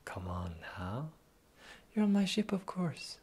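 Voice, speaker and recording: A performer voicing a sly, teasing pirate captain in a slow, breathy, whispered fantasy ASMR roleplay. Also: mid-range voice, big pitch swings, a little mellow, exaggerated voice. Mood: sly, bargaining. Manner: teasing, slow, drawling, theatrical.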